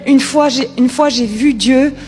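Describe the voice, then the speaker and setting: A woman with a high-pitched voice preaches in an informal, conversational style. She is emphatic and repeats her key phrases, with music playing softly behind her. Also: measured pace. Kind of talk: informal sermon.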